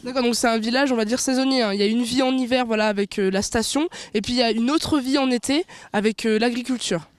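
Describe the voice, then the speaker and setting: A woman's voice: fairly high pitch, fast, few pauses. A woman interviewing locals as a courteous regional TV reporter, asking questions and recapping answers.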